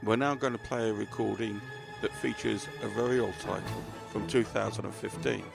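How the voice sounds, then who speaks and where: A man's voice: lowish voice, steady tone, brisk, vocal fry, over music. A man hosting a podcast, talking informally about books in a presenter's manner. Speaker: podcast host and crime writer. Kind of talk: chatty book talk and scripted narration.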